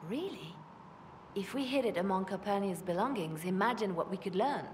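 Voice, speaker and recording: A woman playing a cool, calculating spymaster in a fantasy game, measured and intent. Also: mid-range voice, lively intonation, a little mellow, lightly acted. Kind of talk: fantasy video game acting. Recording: noisy echoing hall.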